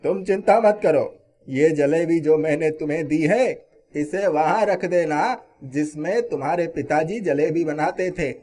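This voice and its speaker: A man playing a mysterious wise old man in an animated moral story, stern but helpful, with a measured, admonishing manner. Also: on the high side, natural voice. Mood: reassuring.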